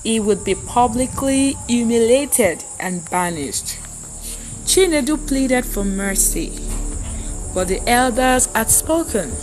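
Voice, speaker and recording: A woman narrating a scripted, dramatic folktale as a storyteller, in a measured, solemn manner with deliberate phrasing. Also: on the high side, animated, a little mellow, faint music behind, poor audio.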